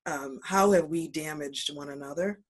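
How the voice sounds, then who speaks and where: A man speaking as a panelist, considered and pausing with fillers. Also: fairly high pitch. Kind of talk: panel discussion.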